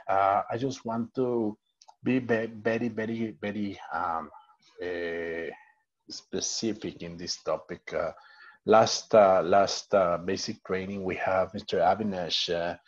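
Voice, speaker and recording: Hesitant Mexican-accented man, husky and low. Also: lo-fi sound. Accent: Mexican Spanish. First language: Spanish. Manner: hesitant, many fillers and restarts. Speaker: network marketing trainer. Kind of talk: online training presentation.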